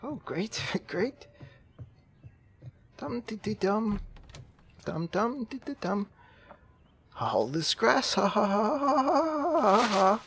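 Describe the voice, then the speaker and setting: A man playing a jittery, swooping, timid gentleman. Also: sits high, very animated, slow, pauses often, a little hiss or hum, pitched above the performer's own voice, exaggerated voice. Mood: nervous, falsely cheery. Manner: nervous, stammering, fussy, prim. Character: jittery, polite, timid man Hansel, falsely cheery. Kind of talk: improvised video game roleplay.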